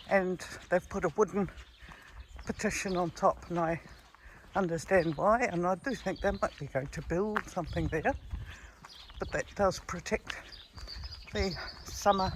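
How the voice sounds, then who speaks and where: Slow-talking New woman, noisy outdoors. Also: mid-range voice. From New Zealand. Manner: admiring, unhurried, chatting while walking. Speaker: travel vlogger. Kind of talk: casual walking vlog chat. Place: outdoors on a hilly rural road. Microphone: handheld camera while walking. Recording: outdoor handheld recording with ambient noise.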